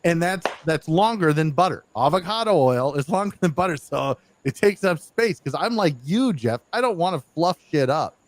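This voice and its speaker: A man's voice: a little high, normal speed, few pauses. A man hosting a live podcast over a video call, telling stories in casual chat and swearing freely. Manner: swears freely, excitable storytelling. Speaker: podcast host.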